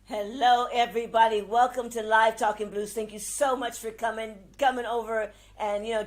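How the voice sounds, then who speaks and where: High-voiced woman hosting a casual chat. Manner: exclamatory, colloquial. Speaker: blues show host.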